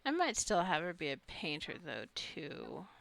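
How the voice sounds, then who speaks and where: A woman's voice: lowish voice, huge pitch swings, a little slow, vocal fry, a bit bright. A woman giving casual gameplay commentary, rambling and thinking aloud as she plays. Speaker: gamer narrating her own gameplay.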